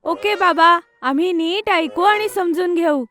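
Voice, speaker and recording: A woman's voice: extremely high pitch, measured pace, pushed and bright, soft background music, exaggerated voice. A woman voicing an eager, obedient and slightly crafty little schoolgirl, childlike and pleading, in a children's cartoon story.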